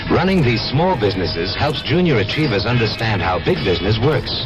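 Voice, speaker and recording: Guy reading an old radio psa, upbeat lively voice, loud music, muffled. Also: lowish voice, fairly quick. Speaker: announcer. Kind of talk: vintage public service announcement.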